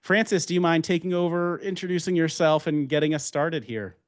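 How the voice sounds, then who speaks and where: A man's voice: a little high, fairly even intonation, brisk, no room sound, clean studio sound. A man hosting a webinar, opening it in an upbeat, self-deprecating way. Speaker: webinar host. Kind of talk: webinar introduction.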